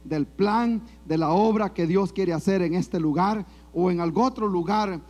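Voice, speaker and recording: A male preacher with a Salvadoran accent delivers a sermon to his congregation. His voice sits high and he takes his time, stretching out phrases. He sprinkles his message with amens and glory to God. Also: measured pace, a little mellow. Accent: Salvadoran Spanish. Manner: preaching with frequent amens and call-and-response. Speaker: pastor. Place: church.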